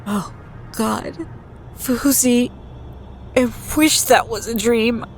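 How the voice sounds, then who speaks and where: An actress playing a troubled, drowsy woman confiding haltingly in naturalistic audio drama. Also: fairly high pitch, darkish tone, faint music behind. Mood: troubled, groggy. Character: troubled, hesitant woman confiding in a close friend.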